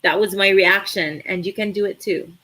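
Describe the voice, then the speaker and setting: Woman, young adult, Filipino accent, Tagalog native, live stream host, casual Q&A, animated, filler words. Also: medium pitch, normal speed. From Philippines. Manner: chatty, animated, uses many fillers.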